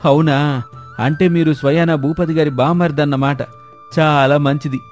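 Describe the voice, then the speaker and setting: A man's voice: a little high, fairly even intonation, normal speed, soft background music, close to an everyday voice. A man playing a hospitable village father in a storybook drama, with an eager, deferential manner. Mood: respectful.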